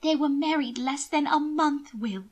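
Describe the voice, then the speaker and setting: A woman's voice: sits high, measured pace, voice pushed up. A woman voicing an anxious, sly young genteel lady in an audiobook, speaking in an urgent, coaxing, dramatic way. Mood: grave warning. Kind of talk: audiobook character dialogue.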